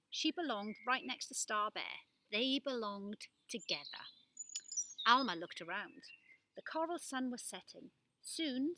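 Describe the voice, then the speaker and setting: A woman giving an expressive, animated reading of a children's story. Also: on the high side. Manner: animated delivery with acted-out dialogue. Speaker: storybook reader.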